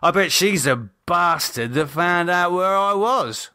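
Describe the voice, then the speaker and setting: A man voicing a bitter, sardonic, self-loathing drunk in an audiobook, halting and sneering as he talks. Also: high-pitched, expressive, pushed and bright, dry recording, studio quality, voice pushed up, exaggerated voice. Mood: bitter, contemptuous. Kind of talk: audiobook character dialogue.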